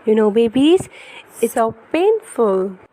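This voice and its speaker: A woman's voice: a little high, big pitch swings, slow pace, dark tone, poor audio. A woman reading a picture book aloud to young children in a teacherly way, with questions and exclamations.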